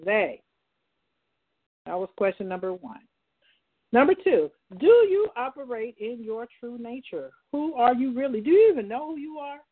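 A woman's voice: a little high, big pitch swings, moderate pace, slightly rough. A woman hosting a call-in talk line, speaking directly and posing questions to her listeners. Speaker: host. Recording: tinny phone line.

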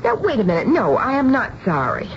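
An actress playing a kindly but firm middle-aged mother in a radio drama, speaking in an earnest, soothing, measured way. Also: a little high, wildly swooping pitch. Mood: earnest, placating. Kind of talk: radio drama acting and first-person narration. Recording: dull, noisy old radio recording.

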